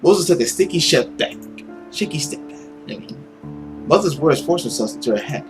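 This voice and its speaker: A man's voice: fairly high pitch, expressive, normal speed, over music. A man reading a visual novel aloud as a dramatic narrator.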